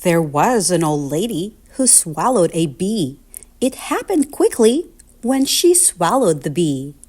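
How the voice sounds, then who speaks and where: A woman reading a children's picture book aloud in an expressive, deliberate, sing-song storytelling manner. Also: on the low side, breathy edge.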